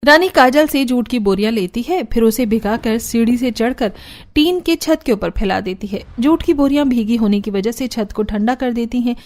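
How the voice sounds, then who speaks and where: A woman's voice: on the high side, normal speed. A woman narrating a scripted Hindi cartoon story.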